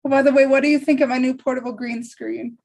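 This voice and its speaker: A woman's voice: high-pitched, fairly even intonation, fast, creaky, a little mellow. A woman taking part in a script table read, chatting in an upbeat way over a video call. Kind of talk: casual chat.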